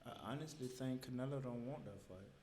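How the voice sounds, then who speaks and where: A man's voice: mid-range voice, fairly quick, over a noisy crowd. A man from Florida, a professional boxer guest, speaking in an easygoing, joking way. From Fort Myers, Florida, US. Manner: joking, easygoing, casual phrasing.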